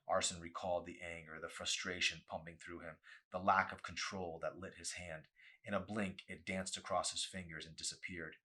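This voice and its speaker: A man's voice: low voice, bright, forceful tone, breathy edge. A man reading a novel aloud as a dramatic audiobook, with an intense, theatrical delivery.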